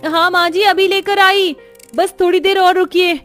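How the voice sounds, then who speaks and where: A woman's voice: extremely high pitch, little pitch movement, moderate pace, a bit bright, soft background music, voice pushed up. A woman voicing a young woman of the family in a Hindi cartoon story. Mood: hurried, obliging.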